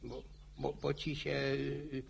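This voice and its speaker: An actor playing an old village grandfather in a radio play, spinning boastful war yarns with a gravelly, stammering, chuckling voice. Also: mid-range voice, monotone, slow pace, a little hiss or hum. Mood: boastful reminiscing. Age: older. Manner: chuckling folksy yarn-spinning. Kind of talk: radio drama acting.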